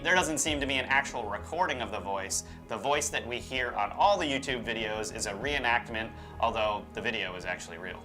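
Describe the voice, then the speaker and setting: A man co-hosting a show, explaining things in an informal, semi-scripted conversational style. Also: mid-range voice. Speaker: co-host.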